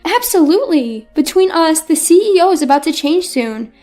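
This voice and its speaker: A woman's voice: very high voice, big pitch swings, normal speed, darkish tone, soft background music, voice pushed up. A woman voicing an assertive female executive heir with a firm, direct manner in a narrated story. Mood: confident.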